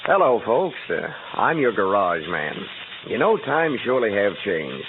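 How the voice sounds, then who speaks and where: Man acting folksy animated garage man, tinny hissy radio. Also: fairly low pitch, measured pace. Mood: enthusiastic. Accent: American. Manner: folksy, enthusiastic. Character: folksy, enthusiastic male garage mechanic selling car polish. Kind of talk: vintage radio ad.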